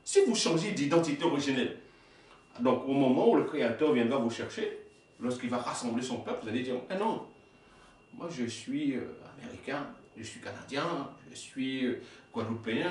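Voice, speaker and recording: Emphatic preacher in an echoey room. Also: male voice, mid-range voice, lively intonation, a little fast, recorded from a distance. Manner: emphatic, gesturing, rhetorical questions, stumbles and self-corrects. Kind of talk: sermon reading and explaining texts. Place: small indoor worship room.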